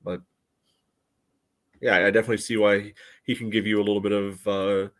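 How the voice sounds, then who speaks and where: A man's voice: fairly low pitch, flat delivery, a little fast. A man co-hosting a soccer podcast, giving casual, conversational match analysis with plenty of qualifications.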